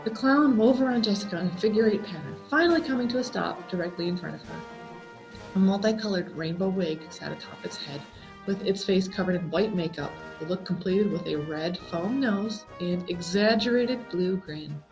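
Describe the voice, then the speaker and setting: A woman reading a scary story aloud as a dramatic, expressive scripted narration full of suspense. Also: lowish voice, moderate pace, faint music behind, poor audio. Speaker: story reader.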